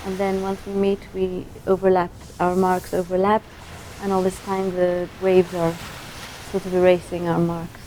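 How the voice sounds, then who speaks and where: A woman video artist from Israel describing her work, reflective and halting. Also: lowish voice, completely flat delivery, warm tone, a little hiss or hum, rough recording. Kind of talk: interview.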